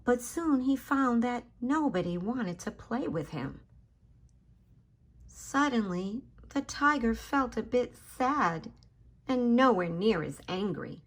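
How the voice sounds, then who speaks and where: Slow, expressive woman reading to children. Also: a little high. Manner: addresses children directly, dramatic emphasis on key words. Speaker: children's story time host and reader. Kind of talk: expressive picture-book read-aloud for young children.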